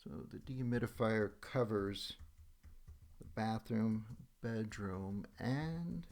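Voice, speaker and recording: A man's voice: fairly low pitch, takes it slowly, a little mellow. A man giving a casual, instructional screen demo voice-over, checking in often with okay as he goes. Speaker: software demonstrator.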